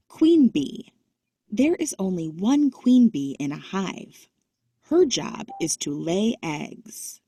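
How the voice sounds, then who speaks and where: A woman's voice: medium pitch, mellow, breathy edge, no room sound. A woman reading scripted educational narration for children, carefully enunciating short, simple sentences and terms. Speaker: narrator of a children's nonfiction book.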